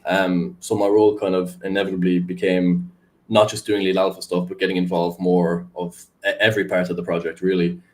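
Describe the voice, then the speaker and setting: Young male guest, deep monotone, loose filler-heavy chat. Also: brisk. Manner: rambling, filler-heavy, laid-back. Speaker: guest, crypto community manager. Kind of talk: casual podcast chat.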